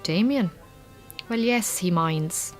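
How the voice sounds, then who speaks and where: An actress playing a chatty, kindly middle-aged Irish farmer's wife in a radio drama, with an Irish accent and a frank, colloquial manner. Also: female voice, fairly low pitch, takes it slowly, soft background music. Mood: sympathetic, sad. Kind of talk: naturalistic radio drama acting.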